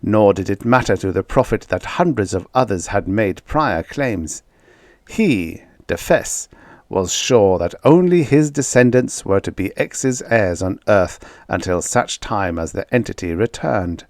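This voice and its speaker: A man reading vintage science fiction aloud as an audiobook narrator, in a measured, dramatic storytelling manner with emphatic stresses. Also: fairly low pitch, animated, dry recording, clean studio sound.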